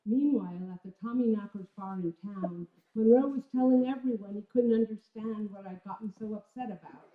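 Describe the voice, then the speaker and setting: A woman performs a literary reading on stage, telling the story expressively with deliberate pauses and emphasis. Her pitch is middling. The sound is thin and phone-like, with plenty of room echo around the voice. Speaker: reader performing a literary memoir at a live event. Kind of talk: dramatic literary reading before a live audience.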